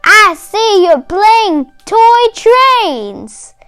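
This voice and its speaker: A friendly granddad dog from a picture book, acted out by a child, speaks very high and chattily. The lines are matter-of-fact, with a lively lilt. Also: extremely slow, no room sound, soft background music, heavily acted.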